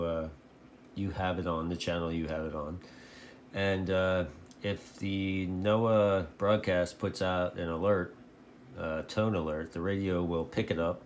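Low-voiced man, casual and folksy. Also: a little mellow. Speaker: video host, radio hobbyist. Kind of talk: casual rambling vlog talk. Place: indoor home radio shack. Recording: clearly noisy home recording.

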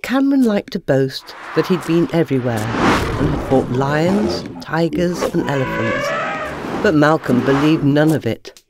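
A woman's voice: sits low, sing-song, measured pace, background noise. A woman narrating a children's bedtime story in a scripted storytelling style. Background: music.

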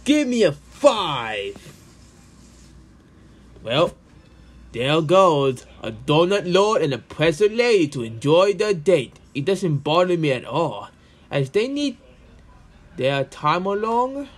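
A man's voice: a little high, sing-song, slow, long pauses, voice pushed down, full character voice. A man voicing a small, wistful, curious hedgehog in an amateur comic dub, musing aloud.

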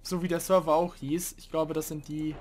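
A young man hosting a casual let's play, chatting and grumbling loudly about teammates as he plays. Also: on the high side, little pitch movement, normal speed, a little hiss or hum. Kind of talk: casual gaming commentary.

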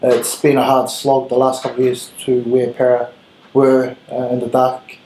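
A man in his thirties with a New Zealand accent, a rugby head coach giving earnest, measured answers in an interview. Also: mid-range voice, monotone, dark tone. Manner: earnest, measured, with filler ums. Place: small room with gray wall, seated at table. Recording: roomy echo, faint room hiss.

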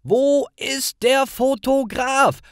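Man acting a high, exasperated stressed bridegroom. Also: extremely slow, clear, resonant tone, no room sound, studio quality, full character voice. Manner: loud, exasperated, dramatic. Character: stressed, angry bridegroom shouting in despair.

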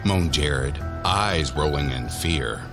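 A man giving measured, deliberate narration for a scripted horror audio drama. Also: rumbling low, expressive. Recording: voice over background music.

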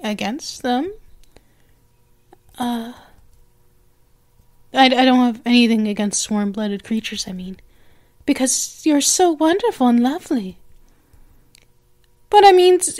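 A young snake-girl monster, acted by a woman for an ASMR roleplay, with a high voice and a slow, lingering delivery. She is possessive and eager, coaxing her listener with halting, trailing phrases. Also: darkish tone. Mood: flustered. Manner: coaxing, hesitant, trailing off and restarting.

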